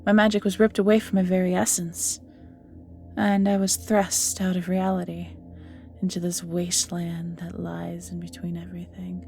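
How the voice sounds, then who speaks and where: A woman's voice: lowish voice, monotone, moderate pace, gravelly, mellow. A woman playing an ancient banished evil magical being in an audio roleplay, flirty and world-weary, musing theatrically and often sinking to near-whispers, with music behind. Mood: rueful. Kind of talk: scripted audio roleplay acting, addressing the listener.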